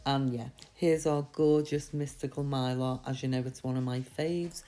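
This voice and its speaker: A woman with a slightly croaky voice from a mild sore throat, giving a chatty, reassuring, step-by-step tutorial. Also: rumbling low, steady tone, moderate pace, warm tone. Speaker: nail art tutorial host. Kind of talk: casual step-by-step tutorial voice-over.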